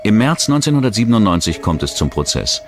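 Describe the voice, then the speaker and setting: A man's voice: fairly low pitch, very animated, quick pace. A man reads a scripted true-crime documentary narration. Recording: music bed behind the voice. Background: background music.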